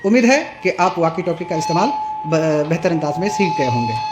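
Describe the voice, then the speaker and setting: A man presenting a hands-on tech tutorial, explaining step by step in a halting, repetitive way. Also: fairly high pitch, sing-song. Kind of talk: hands-on product demonstration. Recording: clearly noisy room recording with ambience.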